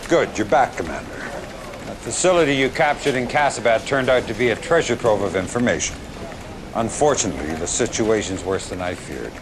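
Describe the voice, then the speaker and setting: An actor playing a grim, blunt general giving a confiding, authoritative military briefing in a game cutscene. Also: male voice, on the low side, expressive, fairly quick, background noise. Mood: grim, urgent.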